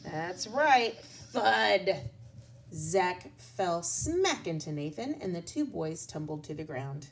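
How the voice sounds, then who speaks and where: A woman teaching through a children's read-aloud, in a slightly low voice. She is deliberate and expressive, taking her time with very animated pitch. There is faint noise in the recording. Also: vocal fry. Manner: expressive, deliberate, pausing to address child listeners.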